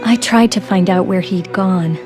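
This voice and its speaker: A woman voicing a caring, older-sister female soldier in an English game dub, with a reassuring, steady manner. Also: medium pitch, measured pace, music in the background, natural voice. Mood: concerned.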